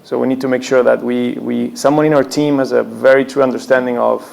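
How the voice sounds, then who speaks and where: A man who founded a company, answering an audience question on a panel with a halting manner, often restarting his sentences. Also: medium pitch, fairly even intonation, gravelly. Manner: halting, many restarts, soft laughs. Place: conference room, before an audience.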